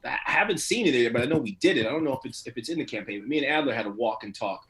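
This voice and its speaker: Bright-voiced man chatting casually in an interview. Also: medium pitch, animated, racing. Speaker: voice and motion capture actor being interviewed.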